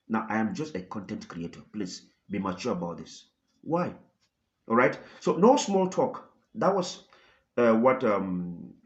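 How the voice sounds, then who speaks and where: A man who works as a content creator, giving dramatic, storytelling news commentary to viewers with emphatic pauses. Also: lowish voice, highs cut off.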